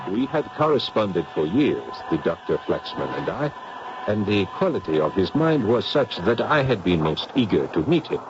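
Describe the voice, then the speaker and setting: French professor on noisy old radio. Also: male voice, sits low, highs cut off. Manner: formal, florid phrasing. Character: young French professor, eager, courteous skeptic of ghosts. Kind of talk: old-time radio drama acting and narration.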